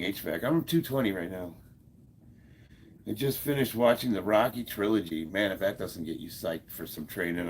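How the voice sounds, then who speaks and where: A man in his fifties talks in a very low, gravelly voice that sounds a little stuffed up. He chats easily with viewers from his computer mic at home, chuckling and filling gaps with loose fillers. A faint hum of room noise sits behind him. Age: 50s. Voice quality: deep, gravelly voice. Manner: laid-back, chuckles often, many fillers. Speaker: livestream host. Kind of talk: casual livestream chat, reading viewer comments.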